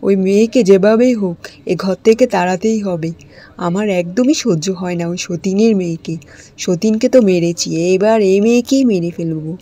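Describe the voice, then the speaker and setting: A cruel, scheming stepmother from a cartoon, played by a woman, threatening and menacing. Her voice is mellow and darkish, mid-pitched, with a cold edge to the threats. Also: moderate pace, natural voice.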